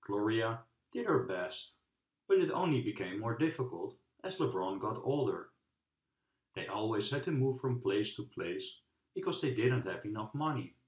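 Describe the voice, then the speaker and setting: Male storybook narrator, very varied intonation, measured dramatic phrasing, echoey room. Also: fairly low pitch, big pitch swings. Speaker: storybook reader. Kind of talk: children's book read-aloud narration. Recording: roomy, echoing sound.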